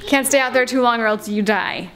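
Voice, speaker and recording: Chatty young woman, high voice. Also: fairly quick. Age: young adult. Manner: chatty, wistful, filler-heavy. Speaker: family vlogger. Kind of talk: casual house tour vlog. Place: suburban family house, indoors. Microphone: handheld camera held close. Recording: echoey rooms, faint noise.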